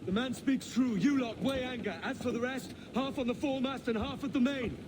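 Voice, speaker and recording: An actor playing a pirate sailor in a video game, calling out deck orders in an urgent, commanding shout. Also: male voice, very high voice, brisk, background noise. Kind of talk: video game cutscene acting.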